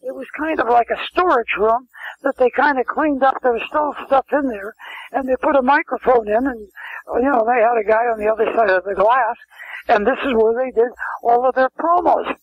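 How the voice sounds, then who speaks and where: Older male interview guest, high gravelly husky voice, rambling anecdotes, muffled lo-fi line. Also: normal speed. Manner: rambling anecdotal, frequent restarts and asides. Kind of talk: casual storytelling interview. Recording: muffled lo-fi phone-quality sound.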